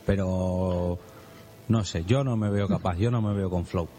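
A man's voice: low-pitched, measured pace, warm tone. A man from hosting a casual podcast and introducing his companions. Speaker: podcast host. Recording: music behind the voice. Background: music.

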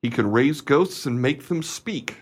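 A man's voice: medium pitch, animated, measured pace. A man acting a nervous, deferential footman in a radio play, with a stammering, earnest servile manner. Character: nervous, deferential footman, eager believer in conjurers.

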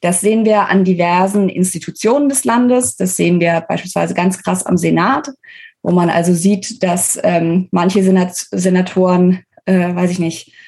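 A woman's voice: fairly low pitch, fairly even intonation, normal speed, a little mellow. A woman with a German accent explains things as an expert interview guest, informal and emphatic, often correcting herself as she thinks aloud.

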